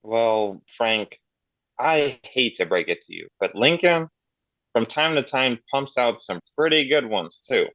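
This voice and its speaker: A man's voice: medium pitch, expressive, a little slow, no room sound. Brash foul-mouthed man, read by a man. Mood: teasing. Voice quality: crude, swaggering banter. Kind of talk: amateur table read. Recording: slightly dull lo-fi.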